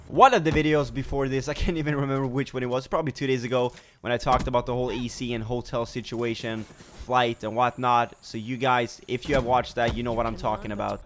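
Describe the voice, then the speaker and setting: A young man from Sweden chats straight to his audience in a casual gaming vlog. His mid-pitched voice is a little rough from a cold and a sore throat. He talks energetically and rambles, with plenty of fillers. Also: fairly bright tone, slight background noise. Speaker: gaming YouTuber.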